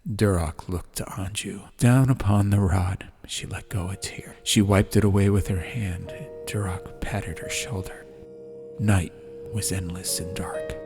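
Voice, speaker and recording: Casting: deep male audiobook reader for atmospheric fantasy narration, light music bed. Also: fairly even intonation, a little slow.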